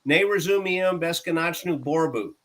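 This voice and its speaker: A man with a bright, crisp voice explains phrases in a relaxed chat. He takes his time and spells words out letter by letter. He sits a little higher in pitch than most men. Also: normal speed. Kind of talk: casual chat.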